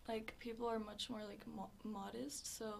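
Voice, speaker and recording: A teenage girl answering interview questions softly, leaning heavily on "like" and other fillers. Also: on the high side, little pitch movement, normal speed, creaky, slight background noise. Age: teens. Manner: soft-spoken, filler-heavy with frequent "like". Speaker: interview guest. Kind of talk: casual interview.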